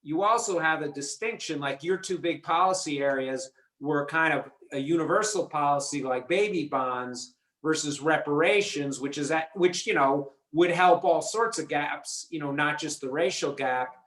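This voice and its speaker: A man works his way through a long, hesitant question, full of restarts and "you know". His voice sits fairly high for a man. He sounds like he is on a video call in an echoey room. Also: moderate pace, a bit bright. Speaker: audience member asking a question. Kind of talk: rambling discussion question.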